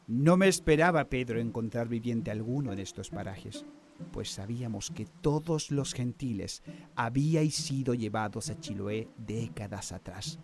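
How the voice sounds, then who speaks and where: Formal spanish navy explorer from the 1700s, courtly, declaiming, medium pitch, radio play, bit of music behind. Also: male voice, lively intonation, unhurried. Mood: earnest, explanatory. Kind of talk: radio drama acting.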